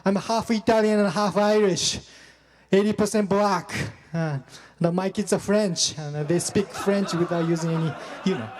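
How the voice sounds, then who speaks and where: A young man performing stand-up, self-deprecating and halting, full of fillers and restarts. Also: high voice, steady tone, darkish tone, big-room sound. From Japan. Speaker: stand-up comedian. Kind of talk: stand-up comedy set.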